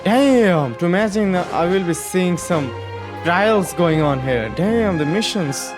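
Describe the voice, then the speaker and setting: A man's voice: sits high, animated, measured pace. Gamer guy streaming, swears a ton, joking at the characters, some game music in the back. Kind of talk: gameplay commentary.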